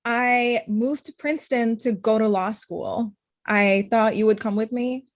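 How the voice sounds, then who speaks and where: A woman's voice: a little high, measured pace, dry recording, clean studio sound. A young woman acting a blunt, hurt, confrontational ex-girlfriend in her thirties in a comedy-drama table read. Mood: hurt, indignant. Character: Amita, blunt, hurt ex-girlfriend, thirty-five, sharp-tongued lawyer.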